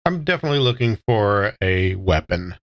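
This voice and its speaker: Guy playing a tabletop game, very lively up and down pitch, mulling stuff over, dry sound. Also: normal speed, no echo, lo-fi sound. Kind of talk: casual improvised tabletop game talk. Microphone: close studio microphone.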